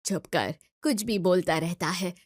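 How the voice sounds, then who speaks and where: A woman's voice: fairly low pitch, a little slow, no echo. A woman voicing a strict but caring middle-aged mother in an audio story, scolding and questioning.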